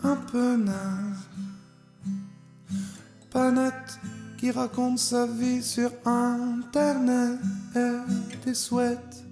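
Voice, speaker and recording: Very slow singing woman in long reverb. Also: on the high side, flat delivery. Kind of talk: sung outro jingle. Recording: long reverb, plucked guitar backing.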